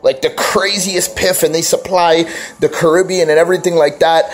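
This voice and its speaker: A man's voice: on the high side, fairly even intonation, moderate pace. A man chatting casually on his vlog, rambling and self-mocking, with plenty of swearing. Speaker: vlogger. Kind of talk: casual joking vlog chat.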